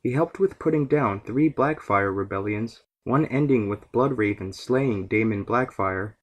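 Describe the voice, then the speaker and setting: A man narrating a scripted fan lore series as its host, in a measured, explanatory way. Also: lowish voice.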